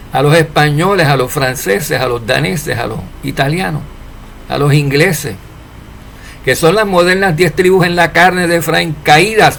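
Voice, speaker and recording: Gravelly-voiced man preaching in Spanish. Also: a little high, slight background noise. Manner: preachy, rhetorical questions, animated rises and drops in loudness. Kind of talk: informal sermon to followers.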